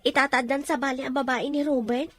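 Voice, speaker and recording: A woman's voice: high voice, little pitch movement, brisk, fairly bright tone. A woman playing a concerned, loyal young friend, earnest and polite, with an Ilocano accent in an audio drama.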